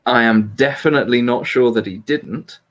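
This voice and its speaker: A man's voice: medium pitch, moderate pace, a bit bright. A man hosting a casual livestream political discussion in an analytical way, qualifying his points carefully. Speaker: livestream host.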